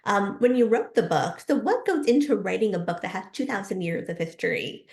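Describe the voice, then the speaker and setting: A woman's voice: medium pitch, lively intonation, brisk, creaky, a little mellow. Enthusiastic woman host in an echoey room. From New Jersey, United States. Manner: enthusiastic, personal. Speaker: host and interviewer. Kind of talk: casual interview questions.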